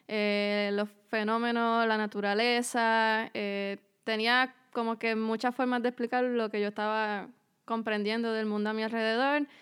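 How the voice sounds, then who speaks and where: A young woman from Puerto Rico with a Puerto Rican accent, a university student answering questions in a podcast interview, measured and pausing on many fillers. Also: a little high, fairly bright tone, smooth. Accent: Puerto Rican Spanish. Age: young adult.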